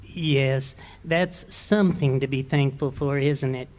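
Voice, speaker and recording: An actor playing a meek, henpecked middle-aged husband in a live radio play, speaking softly and hesitantly. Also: male voice, medium pitch, fairly even intonation, takes it slowly, natural voice. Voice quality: soft, mild and hesitant. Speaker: amateur actor.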